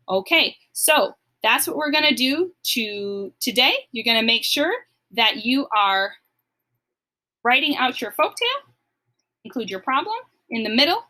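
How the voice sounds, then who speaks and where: A woman's voice: mid-range voice, pushed and bright. A woman teacher giving a recorded lesson to young pupils, explaining simply and encouragingly, step by step. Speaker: elementary school teacher.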